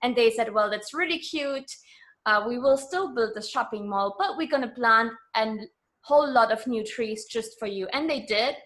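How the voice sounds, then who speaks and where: A woman's voice: a little high, brisk, fairly bright tone. A woman giving conversational interview answers, explaining things in an earnest, informal way. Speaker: interview guest.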